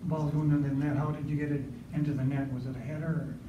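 A man's voice: fairly high pitch, little pitch movement, fast, lo-fi sound. A man, a reporter, asking curious, probing follow-up questions. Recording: distant, echoey, noisy.

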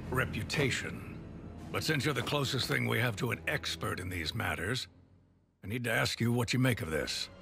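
A man playing a gruff, weary veteran police commissioner with dry wit in a video game cutscene, his voice gravelly. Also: medium pitch.

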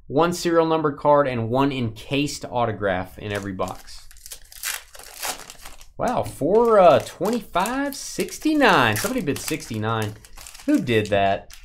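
A man's voice: mid-range voice, a little hiss or hum. A man with a Texas accent hosting a live-stream card break, chatting and joking with viewers as he opens packs. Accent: Texan.

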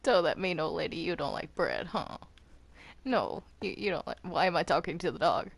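A woman's voice: lowish voice, lively intonation, normal speed, creaky. A woman playing a grumpy, defensive male cartoon villain in improvised game roleplay, stammering and snapping in denial. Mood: awkward, bored. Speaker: player roleplaying on a Minecraft server.

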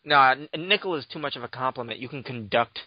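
A man's voice: mid-range voice, quick pace, breathy edge, no room sound. A man hosting a podcast, chatting casually with crude teasing banter. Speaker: podcast host. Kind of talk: casual chat. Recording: dull lo-fi dry podcast mic.

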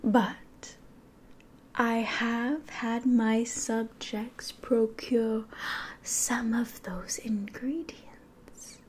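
A woman's voice: fairly high pitch, a little mellow, slightly rough, exaggerated voice. A young woman playing a sly, grandiose demon ruler in an ASMR roleplay, mostly whispering theatrically close to the microphone. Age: 20s.

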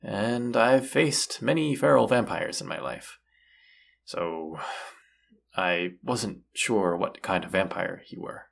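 A man playing a gentle, forgiving vampire hunter and lover in an intimate audio roleplay, speaking tenderly with hesitant pauses. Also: fairly low pitch, gravelly, full character voice. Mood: earnest, reassuring.

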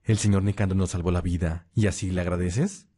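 A man's voice: low-pitched, steady tone, brisk, warm tone, lightly acted. A man playing a stern, loyal vampire watchman in an audio roleplay, curt and disdainful. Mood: grim. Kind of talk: Spanish-language audio roleplay acting.

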